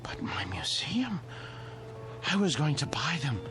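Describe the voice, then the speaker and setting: A meek, devoted male caretaker from a vintage television drama. He speaks slowly and with fervent feeling, his pitch moving widely. Music plays behind his deferential, emotional delivery. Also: big pitch swings. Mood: pleading.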